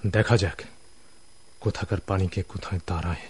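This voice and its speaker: A man with a Bangladeshi accent playing a scheming, smug senior company officer in a radio drama, sly and controlled with quiet menace. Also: low-pitched, fairly even intonation, moderate pace, husky.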